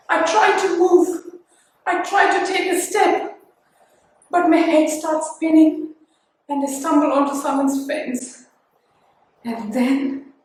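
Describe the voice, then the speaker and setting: A woman's voice: high voice, slight background noise. A young woman acting a bitter, tormented pedestrian in a dramatic theatrical monologue, intense and scornful. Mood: tense, shaken. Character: bitter, judgmental, tormented pedestrian recounting a street incident. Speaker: student actor. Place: studio, live-streamed stage performance. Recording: very echoey studio livestream, distant mic.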